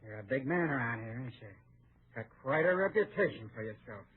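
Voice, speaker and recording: A man's voice: mid-range voice, animated, brisk, close to an everyday voice. An actor playing a cocky, hot-headed gunman in an old-time radio western, goading and boastful. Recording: thin, phone-like old radio recording.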